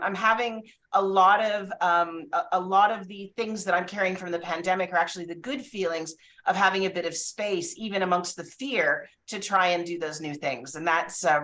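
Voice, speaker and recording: A woman in her forties with a lowish voice talks thoughtfully, pausing on fillers as she works through her answer. She is a defence lawyer speaking at an even, measured pace. The sound is that of a video call from home. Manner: reflective, measured, with frequent fillers. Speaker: criminal defence lawyer, guest. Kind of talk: casual panel chat.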